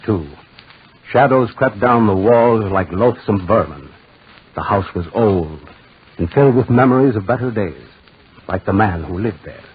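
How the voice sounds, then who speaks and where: An actor playing a grave, dramatic storyteller narrating an eerie 1940s radio drama. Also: male voice, deep voice, husky. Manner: dramatic, deliberate. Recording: muffled, tinny 1940s radio recording.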